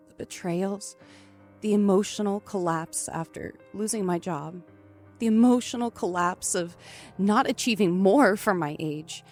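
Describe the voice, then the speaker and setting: Woman playing scared yet defiant captive, suspenseful thriller audio drama, slow pace, soft music behind. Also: mid-range voice. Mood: weary, reflective. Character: frightened but defiant trapped woman narrating her ordeal. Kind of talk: first-person thriller audio drama narration. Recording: music under the voice.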